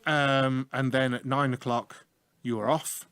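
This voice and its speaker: A middle-aged man with a broad Yorkshire accent talks in an easygoing, joking way, like a teacher spinning yarns. His voice sits at an everyday pitch. The sound is close and dry, recorded in a small room. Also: fairly even intonation, unhurried, a bit bright, smooth, no echo. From north of England. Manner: chatty, humorous storyteller. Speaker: IT teacher and show host. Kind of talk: casual radio chat.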